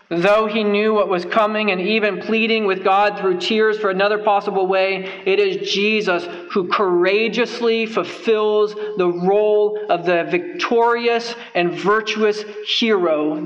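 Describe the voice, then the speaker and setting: Earnest young preacher with a high voice. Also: male voice, fairly even intonation, a little slow, a bit bright, dull audio. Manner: earnest, emphatic, repeats phrases for stress. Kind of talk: sermon. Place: church sanctuary, at a wooden pulpit. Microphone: pulpit microphone.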